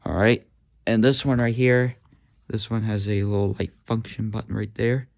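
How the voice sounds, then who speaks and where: A man talking casually through a hands-on demo, halting often with uhs and ums while he handles the gear. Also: fairly low pitch, fairly even intonation, creaky, darkish tone. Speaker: hobby video host demonstrating walkie-talkies.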